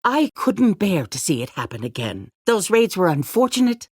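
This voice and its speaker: An actress playing a calculating, self-righteous leader of a survivor community in a video game, speaking in a measured, condescending, politician-like way. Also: female voice, medium pitch, very animated, a little mellow, slightly rough, no echo, clean studio sound. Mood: composed, authoritative. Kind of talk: dramatic video game voice acting.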